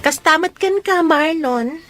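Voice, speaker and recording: A woman's voice: high voice, unhurried, fairly bright tone, faint music behind. A woman acting as a teasing young Filipino best friend in a radio drama. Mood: breezy.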